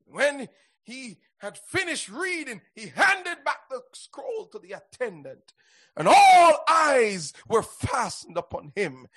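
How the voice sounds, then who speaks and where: High-pitched, husky man preaching fervently. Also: big pitch swings, slow, fairly bright tone. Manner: declamatory, punctuated with exclamations of praise. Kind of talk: fervent Pentecostal sermon.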